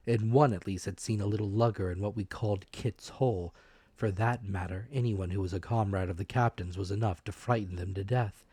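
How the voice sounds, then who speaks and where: Low-voiced man narrating, dry close sound. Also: steady tone, brisk, dark tone, slightly rough, no room sound. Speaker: audiobook narrator. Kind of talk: dramatic scripted narration of a classic adventure story.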